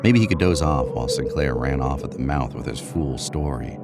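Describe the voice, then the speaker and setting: A man's voice: a bass voice, fairly quick, loud music behind the voice. A man narrating a scripted horror story.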